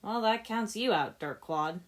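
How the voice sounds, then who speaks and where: A woman's voice: medium pitch, lively intonation, measured pace, pitched above the performer's own voice, close to an everyday voice. Woman reading as a flippant, teasing young ninja. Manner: joking, teasing.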